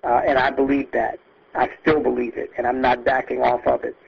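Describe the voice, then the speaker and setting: Gravelly, husky man speaking earnestly on a call. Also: mid-range voice, flat delivery. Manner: earnest, halting, emphatic with repetitions. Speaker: conference call host, political speaker. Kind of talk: impassioned political speech on a conference call. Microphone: phone conference line. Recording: muffled lo-fi conference call audio.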